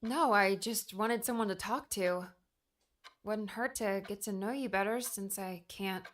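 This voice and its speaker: A woman's voice: mid-range voice, a little slow, a bit bright, natural voice. An actress playing a timid, sad and curious young woman, speaking hesitantly in an audiobook drama. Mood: sad, defensive.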